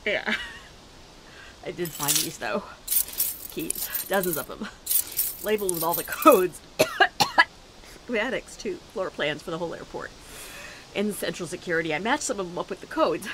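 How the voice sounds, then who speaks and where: Actress doing sickly stubborn woman, wry and pushy, swingy highish voice, noisy background. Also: expressive, normal speed, long pauses, lightly acted. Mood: determined. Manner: insistent, wry. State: sick, coughing. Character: sick, stubborn, daring woman survivor pleading for escape. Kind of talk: audio drama acting.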